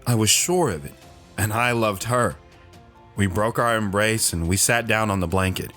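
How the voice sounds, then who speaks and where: A man's voice: fairly low pitch, normal speed, a bit bright, soft background music, close to an everyday voice. A man playing an earnest, lovestruck lone wanderer recounting his life in a reflective, sentimental audio drama style. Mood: tender, nostalgic. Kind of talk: dramatic first-person audio drama narration.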